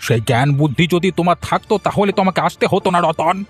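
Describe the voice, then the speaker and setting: Casting: male actor for haughty old cartoon moneylender, husky, fairly high voice, scolding and threatening. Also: animated, fast. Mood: scornful. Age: older. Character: stern, scornful old village moneylender. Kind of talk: Bengali cartoon voice acting. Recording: music behind the voice.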